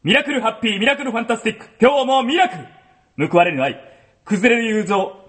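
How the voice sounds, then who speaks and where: A man's voice: extremely high pitch, lively intonation, fast, fairly bright tone. A man in an amateur radio drama, reading a dramatic trailer in a theatrical voice. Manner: theatrical, dramatic trailer delivery, laughs at flubs. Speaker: voice actor.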